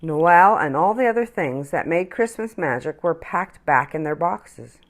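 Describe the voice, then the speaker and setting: A woman reading a children's picture book aloud as a storyteller, expressively and with dramatic pauses. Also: deep voice, lively intonation.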